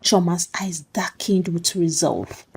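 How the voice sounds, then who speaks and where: A woman's voice: fairly low pitch, takes it slowly, gravelly, slightly rough. A woman telling a story as a dramatic scripted narration.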